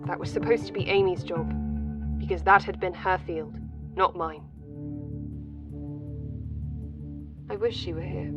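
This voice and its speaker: An actress playing an overburdened colony scientist in an audio drama, speaking a personal log in a dry, clipped manner. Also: female voice, lowish voice, normal speed, natural voice. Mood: wistful. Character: frustrated, overburdened female colony scientist, dryly resentful. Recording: dull sound, music underneath.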